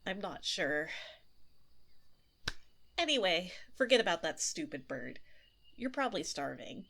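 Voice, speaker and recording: A woman's voice: on the low side, a bit bright. A woman playing a seductive, possessive witch in an ASMR audio roleplay, coy and flirtatious with a politely sinister manner. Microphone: close binaural 3Dio microphone. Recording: nature sounds and fire crackle behind voice. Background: nature ambience, fireplace.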